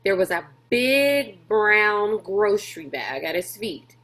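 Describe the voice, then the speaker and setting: Female teacher-narrator, mid-pitched, slow and expressive storybook read-aloud. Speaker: teacher reading aloud to pupils.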